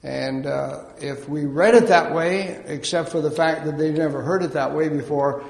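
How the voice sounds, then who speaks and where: A man preaching a sermon in a measured way, pausing often on fillers. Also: on the high side, fairly even intonation, gravelly, a little mellow. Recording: echoey hall.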